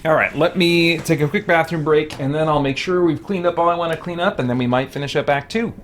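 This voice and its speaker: A man's voice: fairly high pitch, fairly quick, slight background noise. A man giving casual, offhand gameplay commentary, thinking aloud in a rambling way as he plays. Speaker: video game let's play host. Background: game music.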